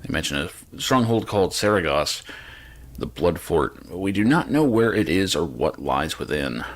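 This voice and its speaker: A man's voice: deep voice, big pitch swings, moderate pace. A man doing casual gameplay commentary and reading quest text aloud, with dry, muttered, sweary asides. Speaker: game streamer.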